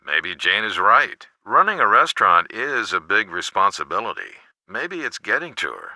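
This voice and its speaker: A man's voice: lowish voice, very animated, moderate pace, sharp tone, no echo, full character voice. A man voicing a caring middle-aged American father in a video game, articulate and advising. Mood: measured, concerned. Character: teenage detective's caring, measured lawyer father.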